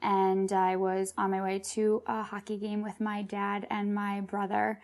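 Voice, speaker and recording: A woman's voice: medium pitch, monotone, unhurried. A young woman telling an interviewer about growing up in a matter-of-fact way. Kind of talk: personal interview recollection.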